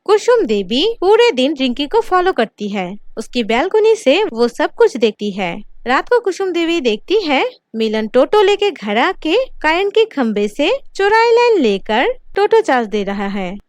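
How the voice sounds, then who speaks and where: A woman's voice: sits high, big pitch swings, measured pace, hardly any pauses, dry recording. A woman reading scripted narration for a cartoon story.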